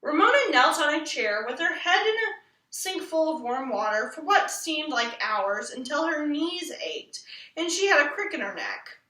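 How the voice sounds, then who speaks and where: A woman's voice: high voice, sing-song, normal speed, sharp tone. A woman narrating a children's story expressively, as a storybook reader. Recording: echoey room, distant microphone.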